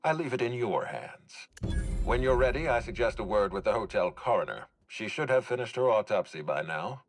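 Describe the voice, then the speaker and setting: A stiff polite game exec, real low voice, swings around a lot, dry and guarded. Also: male voice, animated, faint music behind. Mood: grave, suspicious. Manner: measured, courteous, formal, dryly stern. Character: formal, guarded male executive overseeing hotel and orchards. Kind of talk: dramatic video game dialogue.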